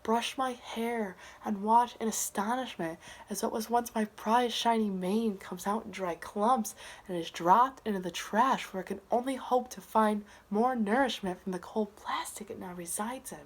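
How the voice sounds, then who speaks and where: A woman performing a slam poem in a dramatic, earnest and deliberate delivery. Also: on the high side. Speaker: slam poet. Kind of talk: slam poetry recitation.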